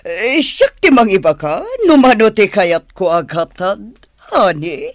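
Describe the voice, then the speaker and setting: Money-hungry sweetheart: gushing, wheedling, northern Philippine accent, leisurely lilting radio drama delivery. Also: female voice, medium pitch, expressive, a little slow, dry recording, clean studio sound. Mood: greedy delight. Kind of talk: Ilocano radio drama acting.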